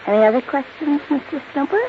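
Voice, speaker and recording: A woman's voice: sits high, fast talker, close to an everyday voice. An actress playing a flippant, coy and callous young woman in a 1950s radio drama. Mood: mocking. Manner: coy, mocking. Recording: dull 1950s radio recording, faint noise.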